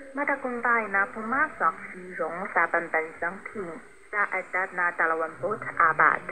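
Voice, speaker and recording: Female radio presenter, mid-pitched, reading scripted narrative over a hissy, noisy shortwave signal. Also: pushed and bright. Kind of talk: scripted narration of a sports biography.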